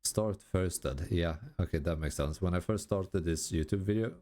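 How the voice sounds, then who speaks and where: A Swedish man, a gaming YouTuber, talking casually in halting, self-correcting sentences and laughing at himself over his English pronunciation. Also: low voice, dark tone, faint music behind. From Sweden. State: tired. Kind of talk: casual commentary while gaming.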